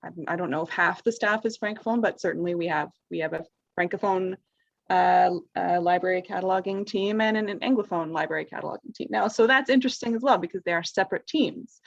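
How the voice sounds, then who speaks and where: Woman, moderator, gravelly voice, dry dull lo-fi call audio, reads audience questions. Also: lowish voice, quick pace, no room sound. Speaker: roundtable moderator. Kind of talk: online roundtable discussion. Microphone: video call.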